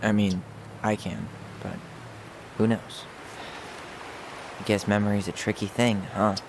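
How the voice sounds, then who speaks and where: A man's voice: lowish voice, level tone, a little slow, noisy background. An actor playing a skeptical young American man in a video game, speaking in a casual, wry, blunt way. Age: young adult. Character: skeptical, sardonic young man confronting old family memories. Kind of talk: naturalistic video game dialogue.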